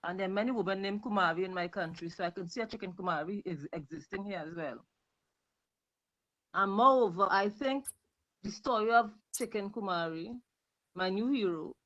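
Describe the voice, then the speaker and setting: A woman's voice: mid-range voice, muffled recording. A woman from Trinidad and Tobago, commenting emphatically in a video call discussion and repeating words for stress. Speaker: panel audience member.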